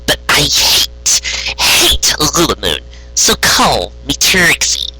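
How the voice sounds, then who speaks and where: A man's voice: fairly high pitch, huge pitch swings, slow, pushed and bright, breathy edge, pitched above the performer's own voice, full character voice, rough recording. A man voicing a grumpy, imperious young female unicorn magician in a haughty, insistent manner for a story reading.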